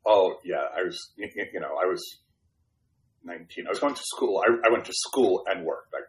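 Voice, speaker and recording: A man's voice: low-pitched, expressive, moderate pace. A man in his fifties from Brooklyn, New York, whose first language was Spanish, telling his life story in a casual, self-deprecating interview. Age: 50s. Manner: self-deprecating, chatty, restarts and fillers. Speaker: podcast guest.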